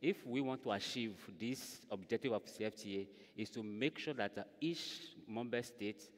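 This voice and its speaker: A man's voice: fairly low pitch, normal speed. A man giving his remarks as a panelist in a large conference hall, hesitant and searching for words. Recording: hall reverb.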